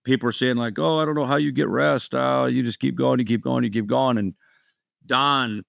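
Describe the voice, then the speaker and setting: Gravelly, chatty male podcast host. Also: medium pitch, steady tone, moderate pace, a bit bright, no room sound, studio quality. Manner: friendly, chatty, storytelling. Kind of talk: casual interview chat.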